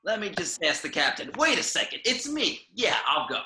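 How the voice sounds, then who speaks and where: A man playing a cocky, sarcastic talking raccoon mercenary with bossy, excitable delivery in an amateur film table read. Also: sits high, moderate pace, echoey room, exaggerated voice. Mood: smug.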